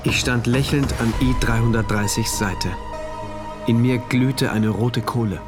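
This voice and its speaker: Earnest, brooding diarist played by a man, mid-pitched. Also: fairly even intonation, measured pace, natural voice. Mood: reflective, narrating. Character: earnest male mathematician diarist, dutiful, brooding, inwardly shaken. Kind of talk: radio play first-person narration and dialogue. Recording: music behind the voice.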